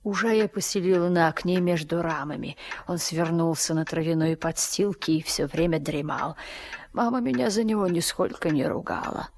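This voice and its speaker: A woman's voice: on the low side, moderate pace, a little mellow. An actress playing a spirited, eager young girl in a Russian radio drama, both narrating and speaking in scenes. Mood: suspenseful storytelling.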